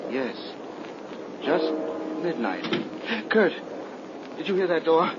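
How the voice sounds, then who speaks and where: A man's voice: on the high side, big pitch swings, slow pace. An actor playing a nervous, easily frightened young man in an earnest 1930s radio horror drama. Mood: uneasy, wondering. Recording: noisy, dull 1930s radio recording.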